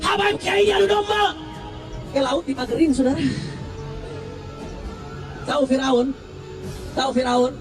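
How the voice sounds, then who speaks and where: A man preaching a fiery sermon at a rally, shouting and calling on the crowd to answer back. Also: very high voice, expressive, unhurried, pauses often. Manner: shouting, call-and-response with crowd. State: recovering from flu. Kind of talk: fiery open-air sermon. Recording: distant mic with music behind. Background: music.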